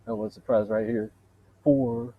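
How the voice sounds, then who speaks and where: A man with a deep voice in a rambling, profane, boastful solo monologue, wandering from point to point. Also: fairly even intonation, a little slow, narrow, tinny audio. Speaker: vlogger.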